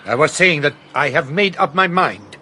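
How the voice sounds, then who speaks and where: A man's voice: fairly high pitch, animated, moderate pace, bright, forceful tone, slight background noise. An actor playing a shaken foreign man who speaks in a formal, solemn way. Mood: solemn resolve.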